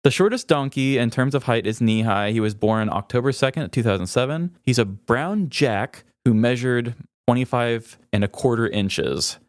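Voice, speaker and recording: A man's voice: medium pitch, animated, normal speed, hardly any pauses, dry recording. A man with a mid-range voice co-hosts a podcast in a casual way. He moves between loose chat and reading trivia aloud, recorded dry with no room sound. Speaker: podcast co-host.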